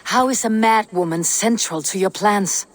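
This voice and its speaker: A woman's voice: medium pitch, moderate pace, natural voice. An actress playing a level-headed, sceptical Viking warrior woman in a video game, questioning and blunt. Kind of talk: video game cutscene acting. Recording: long hall reverb, music behind.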